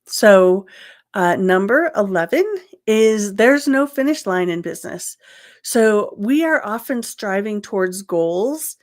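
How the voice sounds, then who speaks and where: A woman's voice: medium pitch, lively intonation, slow. Congested, earnest woman podcast host. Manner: earnest, encouraging, many ums and restarts. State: congested, nasal from a cold. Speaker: podcast host and crochet business coach. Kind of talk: solo podcast talk.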